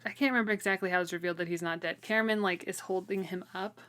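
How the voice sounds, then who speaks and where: A woman's voice: fairly low pitch, steady tone, very fast, bright, forceful tone. A woman co-hosting a casual book discussion, retelling the story in an informal, wryly incredulous way. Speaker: co-host of a book read-along show. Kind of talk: casual chat, recapping a fantasy novel.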